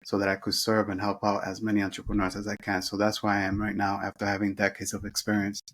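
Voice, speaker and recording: Middle-aged man from the Dominican Republic; low, monotone, earnest interview storyteller. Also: fast, slight background noise. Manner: earnest, with frequent restarts and self-corrections. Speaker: guest sharing life story.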